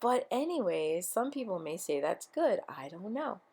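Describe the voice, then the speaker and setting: A woman with a voice she says is going hoarse, chatting casually through a scrapbooking tutorial with lots of ums. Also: mid-range voice, sing-song, normal speed, darkish tone. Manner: rambling, chatty, many ums. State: losing her voice. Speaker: scrapbooking vlogger. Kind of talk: casual craft tutorial chat.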